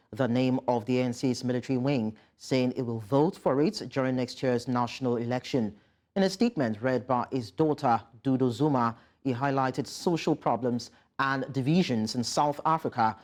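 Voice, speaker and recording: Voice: medium pitch, darkish tone. A news anchor presenting reports and putting formal questions to a guest in a live interview.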